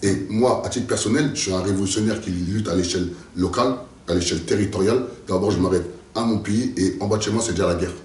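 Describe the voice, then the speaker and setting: Low-voiced French man, distant echoey mic. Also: fast, few pauses, a little mellow. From France. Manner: emphatic, repetitive, speechlike. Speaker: local political activist and candidate. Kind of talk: interview answers.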